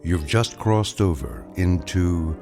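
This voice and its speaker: A man narrating a dramatic scripted introduction in a measured, portentous way. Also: deep voice, animated, over music.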